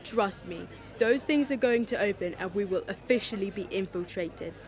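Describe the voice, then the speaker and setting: A woman's voice: medium pitch, normal speed, soft background music, natural voice. A young woman playing a suspicious, defiant conspiracy believer in a street interview drama. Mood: defiant, paranoid. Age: young adult. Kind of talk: vox pop acting in youth drama.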